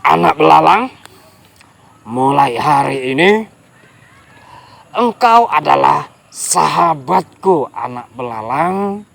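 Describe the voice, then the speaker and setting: A big male crocodile from a children's storybook, played by a man with a raised, strained tone. He groans and stretches his words slowly. There is a faint hiss behind him. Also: fairly high pitch, lively intonation, gravelly, fairly bright tone, full character voice. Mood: solemn. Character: big male crocodile in pain, gruff then grateful.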